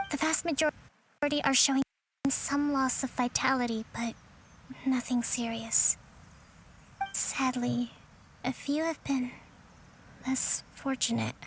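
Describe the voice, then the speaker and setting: An actress playing a composed, caring young military leader in a video game, speaking in a measured, formal, deliberate way. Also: female voice, sits high, steady tone, a little hiss or hum, low-quality recording. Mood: grave, composed. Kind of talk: scripted game dialogue. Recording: game music under the voice.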